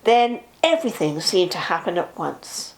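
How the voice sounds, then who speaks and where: An older woman, a grandmother, reading a children's story aloud with expressive narration. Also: on the low side, normal speed, poor audio.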